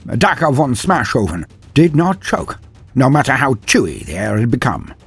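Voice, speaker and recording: A man's voice: medium pitch, sing-song, normal speed, husky, no room sound. A man narrating a comedic fantasy tale in a theatrical, grandiose, mock-epic manner. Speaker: narrator and storyteller. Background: background music.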